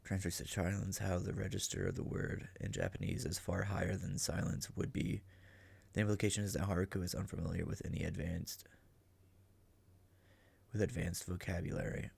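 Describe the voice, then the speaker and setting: A man's voice: deep voice, lively intonation, fairly quick, creaky, darkish tone, husky, no echo. A man giving casual, rambling commentary as a reaction host, emphatic, often tagging on "right?" and swearing freely. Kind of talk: casual spoken commentary on an audio drama.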